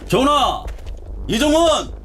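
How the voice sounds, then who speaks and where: A man playing a loud, pushy young plainclothes riot cop who talks nonstop, in a radio drama. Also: extremely high pitch, very animated, a little slow, full character voice. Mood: boisterous. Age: young adult. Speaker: plainclothes police. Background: background music.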